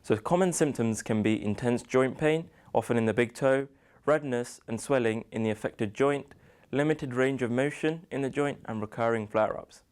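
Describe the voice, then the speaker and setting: A young man in his twenties with a British accent, giving a student presentation in a somewhat halting way. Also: medium pitch, a little mellow, clean tone. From United Kingdom. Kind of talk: slide presentation on a medical topic.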